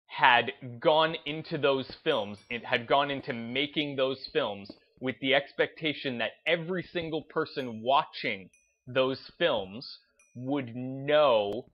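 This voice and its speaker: Slow-paced man, emphatic, slightly dull audio. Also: mid-range voice. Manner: emphatic, deliberate, stressing points with short declarations. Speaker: gaming video host. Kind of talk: opinionated unscripted commentary.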